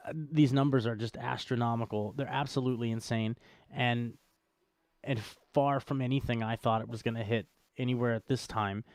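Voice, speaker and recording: Male co-host, mid-pitched, very clear and dry-sounding, casual joking commentary. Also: fairly even intonation, a little fast, darkish tone, no room sound. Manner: wry, joking. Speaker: co-host of a cryptocurrency show. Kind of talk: casual podcast banter and commentary.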